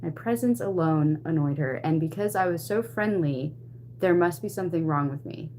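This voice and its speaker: A woman chatting casually to stream viewers, retelling a personal story in an animated, expressive way. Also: deep voice, moderate pace, a little hiss or hum. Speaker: livestream host.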